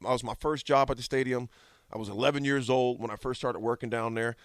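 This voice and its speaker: A man from Nebraska co-hosting a casual sports talk show in an animated, colloquial way. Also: medium pitch, fast talker, no room sound, studio quality. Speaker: sports radio co-host.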